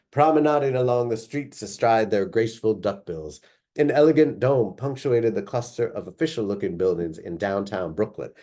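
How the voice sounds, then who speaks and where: Male storyteller: expressive read-aloud narration, dramatic, lingering on descriptions. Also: medium pitch. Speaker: author or reader reading a story aloud.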